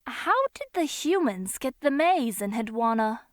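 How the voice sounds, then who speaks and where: A woman's voice: a little high, sing-song, unhurried, no echo, clean studio sound. A woman voicing a curious, innocent young child who attends a priestess, in a visual novel.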